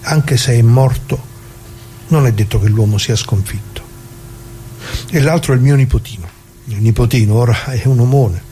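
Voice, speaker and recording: Guy playing old dead factory worker, soft dark voice, bitter, halting radio drama monologue, bit of noise. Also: mid-range voice, close to an everyday voice. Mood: reflective, doubtful. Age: older. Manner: halting, repetitive, emphatic pauses, direct address to listeners. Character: dead retired working-class man, bitter, plain-spoken, mournful.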